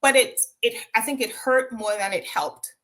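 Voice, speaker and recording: A woman's voice: on the high side, normal speed, fairly bright tone. A woman from Jamaica with a Jamaican accent, telling her own story as an interview guest, reflective, with frequent ums and tag questions.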